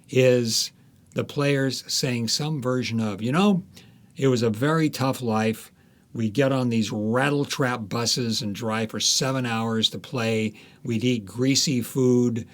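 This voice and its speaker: An American man in his seventies, an author being interviewed, telling anecdotes in an emphatic, enthusiastic way. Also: mid-range voice. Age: 70s. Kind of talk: reminiscing, anecdotal interview talk.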